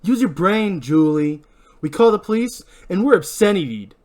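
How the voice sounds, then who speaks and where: Angry drunk young guy, hammy and blustering, pitched up with big swoops amateur reader acting him out. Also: expressive, slow, darkish tone. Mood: agitated, pushy. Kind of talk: hammy amateur script reading.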